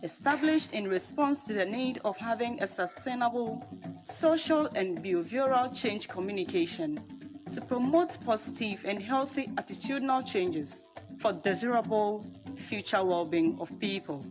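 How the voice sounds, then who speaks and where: Lady announcer, very lively pitch, scripted promo over music. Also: moderate pace.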